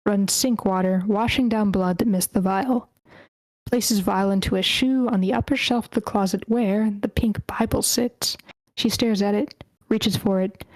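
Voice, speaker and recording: A woman's voice: medium pitch, darkish tone, dry recording, poor audio. A woman reading stage directions aloud as the narrator at a screenplay table read, in short clipped phrases.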